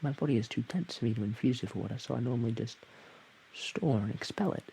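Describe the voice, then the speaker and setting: A man's voice: mid-range voice, quick pace, vocal fry, mellow, natural voice, low-quality recording. A man voicing a shy, awkward, friendly slime creature, stammering hesitantly in an audio roleplay addressed to the listener. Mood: explaining. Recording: hissy background noise.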